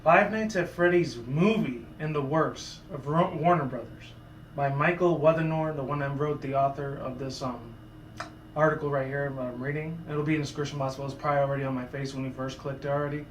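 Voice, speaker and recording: Male vlogger, voice a bit hoarse and fairly high, rambling casual talk in a roomy echoey room. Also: steady tone, fairly quick, a little hiss or hum. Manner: rambling, full of asides and restarts. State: hoarse, sore voice. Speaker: YouTuber. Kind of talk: casual commentary vlog.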